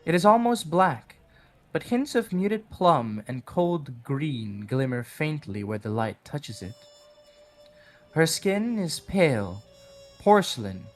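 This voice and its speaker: A man reading scripted narration for a horror audio drama in a measured, dramatic storytelling manner. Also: medium pitch. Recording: background music under the voice. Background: music.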